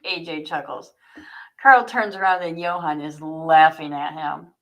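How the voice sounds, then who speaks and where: A woman's voice: sits low, fairly even intonation, a little slow, a bit bright. A woman author hosting a livestream, reading her own story aloud and chatting to viewers.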